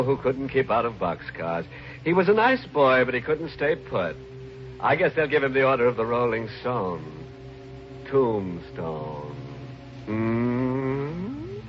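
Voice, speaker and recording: An actor playing a sardonic, mock-mournful radio horror host, teasing listeners with grim relish in a 1950s style. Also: male voice, mid-range voice. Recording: muffled, noisy early 1950s radio recording.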